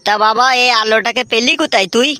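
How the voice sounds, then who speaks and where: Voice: medium pitch, fast, sharp tone, natural voice. A performer voicing a nosy, teasing village uncle in a Bengali comedy cartoon, prying with needling questions. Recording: music behind the voice.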